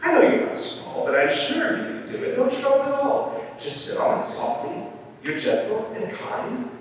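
Man putting on a whiny high-pitched lady bird, echoey hall. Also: very animated, normal speed. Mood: wheedling, pleading. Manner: theatrical, pleading complaint. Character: lazy, whiny, wheedling female bird. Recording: very echoey hall, distant, faint noise.

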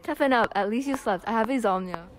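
High-voiced tough girl survivor acted by a schoolgirl. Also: fast talker, lightly acted. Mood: blunt. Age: young teen. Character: tough, blunt female student survivor of zombies. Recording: amateur recording with faint noise.

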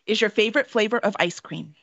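A woman's voice: mid-range voice, fast talker, fairly bright tone, no room sound. A woman from Texas, in her thirties or forties, hosting a casual interview from a small room at home. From Texas, United States. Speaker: interview host. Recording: dull, lo-fi call audio.

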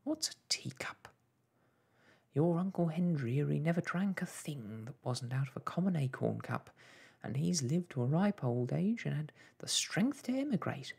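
A storyteller voicing a fretful, guilt-ridden mother in a children's storybook, breathy and quavering, with a folksy, lamenting manner. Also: medium pitch, very animated, moderate pace, darkish tone, voice pushed up, full character voice. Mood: rambling regret. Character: fretful, guilt-ridden mother of a tiny family, tearful scolder.

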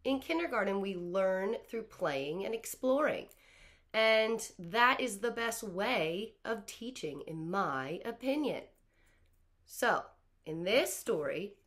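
A woman in her thirties, a kindergarten teacher, explaining things to small children in simple, deliberate phrases. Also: mid-range voice, fairly bright tone. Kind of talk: explaining to young children before a read-aloud. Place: small living room, on a couch.

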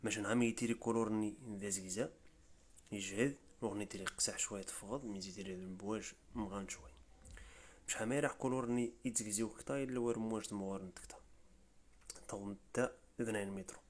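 Riffian man, lowish voice, quick informal explainer narration, tutorial voice-over. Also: fairly even intonation. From Rif region of Morocco. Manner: brisk, informal, step-by-step explaining. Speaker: tutorial presenter. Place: voice-over, not the pictured scenes.

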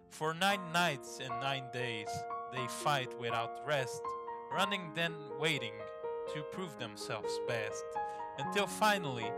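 A man whose first language is not English, casually commenting while playing a game, swearing a lot and stumbling when reading aloud. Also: on the high side. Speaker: gamer playing through a game. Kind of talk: casual let's play commentary. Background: game music.

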